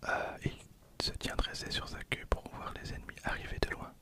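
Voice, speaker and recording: A man speaks in a breathy, unvoiced whisper, giving informal ASMR commentary. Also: moderate pace. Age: 20s. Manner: informal, murmured running commentary. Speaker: ASMR video creator. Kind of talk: whispered ASMR with casual commentary on trading cards.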